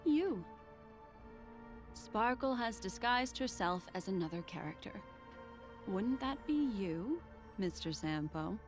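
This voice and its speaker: An actress playing a calm, enigmatic young woman sleuth in a video game, speaking in a composed, deliberate manner. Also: mid-range voice. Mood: calm, certain. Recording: faint game music behind the voice. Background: game music.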